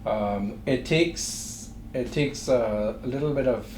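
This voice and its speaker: A middle-aged man with a Trinidadian accent, a guest speaking in a thoughtful, measured way with frequent pauses. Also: mid-range voice, darkish tone. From Trinidad and Tobago. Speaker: guest entrepreneur. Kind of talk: interview conversation. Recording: echoey room with faint noise.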